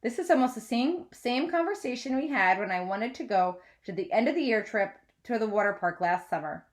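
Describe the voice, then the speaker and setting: A woman reading a children's novel aloud as a teacher would, in an expressive storytelling style. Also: a little high, fairly quick.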